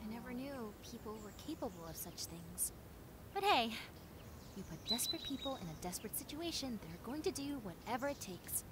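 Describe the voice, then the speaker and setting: Woman doing a chatty clumsy nice zombie girl for audio roleplay, kinda high voice, super animated, rambles on. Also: a little fast, noisy background. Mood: somber, then chatty, sincere. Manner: chatty, rambling, expressive, casual asides. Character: friendly, clumsy, nosy young female zombie, chatty and wistful. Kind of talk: roleplay audio drama, conversational monologue addressed to listener.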